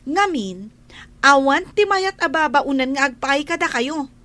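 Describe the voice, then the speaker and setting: Very high, slow-spoken Ilocano young woman acted by a woman. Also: expressive, bright, forceful tone, dry recording, full character voice. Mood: indignant. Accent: Ilocano Filipino. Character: defiant young student, a former housemaid, frank and indignant. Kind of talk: Filipino radio drama acting.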